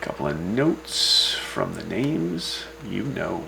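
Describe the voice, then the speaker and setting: A man hosting a scripted frontier radio news broadcast, reading the items in a dry, deadpan way with wry asides. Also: deep voice, takes it slowly, gravelly. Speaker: radio host.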